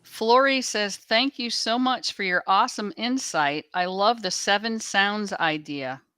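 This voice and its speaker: A woman's voice: medium pitch, unhurried, bright, forceful tone, smooth, no echo, clean studio sound. A woman assisting on a show, reading viewers' messages aloud.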